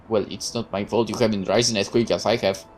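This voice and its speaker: A woman reading aloud as a naive young male soldier in a visual novel, speaking low and hesitantly. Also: fairly even intonation, moderate pace, a little mellow, slight background noise. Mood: earnest.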